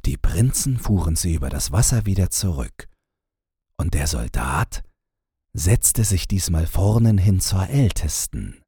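A man narrating a scripted fairy tale as an audiobook, in a measured storytelling manner. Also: low-pitched, warm tone, husky, dry recording, clean studio sound.

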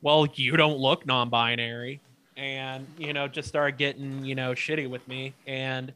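A man's voice: medium pitch, flat delivery, measured pace, pushed and bright. A young man telling stories in a casual chat, swearing freely, laughing often and tripping over his words. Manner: profane, loose storytelling with many fillers and restarts. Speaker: podcast co-host.

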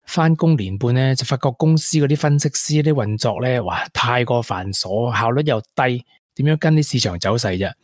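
An actor playing a regretful former tech prodigy in a radio drama, with a Hong Kong accent and earnest delivery. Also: male voice, medium pitch, animated, normal speed, hardly any pauses, darkish tone, no echo, clean studio sound, natural voice. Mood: confessional. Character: regretful young ex-tech prodigy, confessing to a woman. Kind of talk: Cantonese radio drama acting.

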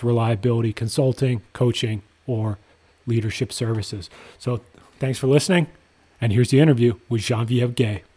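A man from Canada co-hosts a podcast with a mellow, warm tone at an ordinary pitch. He talks enthusiastically, leaning on repetition for emphasis, moving between a read intro and easy banter. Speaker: podcast co-host. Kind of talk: scripted podcast intro and casual chat.

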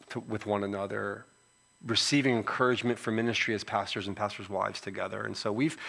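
A man's voice: fairly low pitch, pushed and bright. A middle-aged man from Philadelphia with a Philadelphia accent, a pastor giving a conversational sermon introduction with frequent restarts. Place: church sanctuary.

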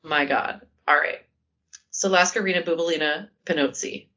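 Brief: woman podcast co-host, low, commanding, bright tone, chatty, slightly lo-fi. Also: normal speed. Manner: joking, chatty, says "like" often. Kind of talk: casual podcast chat, telling a history story.

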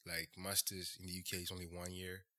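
A young man from France with a French accent, a guest telling his story in an interview and pausing often on "uh" and "um". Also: deep voice, completely flat delivery, pushed and bright. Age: young adult. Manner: measured, pauses with frequent "uh" and "um". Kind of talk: casual interview talk.

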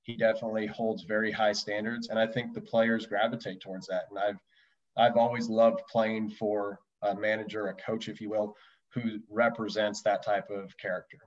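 A man's voice: lowish voice, level tone, moderate pace. A man reminiscing casually as an interview guest in a conversational way. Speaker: interview guest, former relief pitcher. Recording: dull lo-fi audio, distant from mic.

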